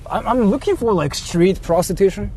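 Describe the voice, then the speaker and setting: A man's voice: sits high, moderate pace, mellow. A man chatting casually on a livestream from inside a car, rambling, repeating his phrases and swearing freely. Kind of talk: casual IRL livestream chat while driving. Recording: noisy car interior. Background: vehicle noise.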